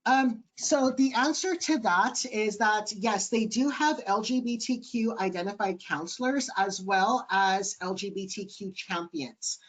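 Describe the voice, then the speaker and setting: A man with a light, high-sounding voice, answering webinar questions earnestly with many ums over a video call. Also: measured pace, few pauses, a bit bright. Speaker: webinar panelist.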